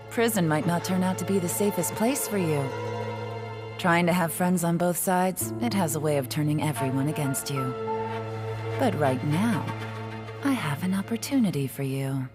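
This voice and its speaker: Woman playing a cool teasing spy lady, lowish mellow voice, faint music. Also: animated, moderate pace, close to an everyday voice. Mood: quiet. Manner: composed, teasing, coolly confrontational. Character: cool, sly female secret agent. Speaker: game character.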